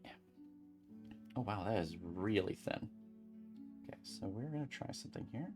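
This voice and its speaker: Deep low voice, calm soft talk, casual crafting stream chatter, light music in background. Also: moderate pace. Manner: soft, calming, rambling with many fillers. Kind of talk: casual livestream chat while beading.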